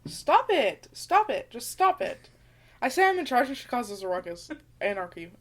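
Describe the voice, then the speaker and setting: A woman's voice: a little high, very animated, moderate pace, fairly bright tone. A young woman co-hosting a podcast in casual chat, with a slight lisp, mumbling a little and laughing often. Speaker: podcast co-host.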